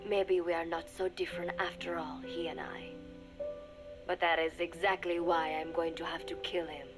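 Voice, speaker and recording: An actress playing a young secret agent confiding in a recorded diary, speaking in measured, formal phrases that often drop to a murmur, wistful and resigned. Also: female voice, on the low side, flat delivery, over music, lightly acted. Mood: somber, resigned. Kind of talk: scripted dramatic monologue, recorded diary.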